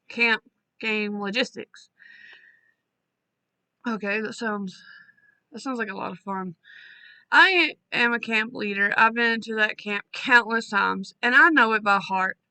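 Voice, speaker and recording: A woman hosting a storytelling channel, reading listener stories aloud in a casual way and breaking off for quick asides. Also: on the high side, sharp tone, clear, resonant tone, dry recording.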